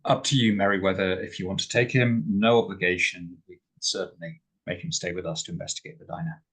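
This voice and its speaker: A man playing a cautious, wry male traveller in a tabletop game, with a measured, formal and wordy way of talking. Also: deep voice, a bit bright, husky, heavily acted, poor audio. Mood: dry.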